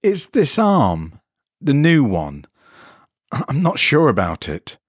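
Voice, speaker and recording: A man's voice: medium pitch, wildly swooping pitch, slow, slightly rough, no echo, studio quality, pitched above the performer's own voice, full character voice. A man voicing an anxious, plaintive man with colloquial speech in a story reading. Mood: uneasy, complaining. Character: anxious, complaining man troubled by a rogue arm.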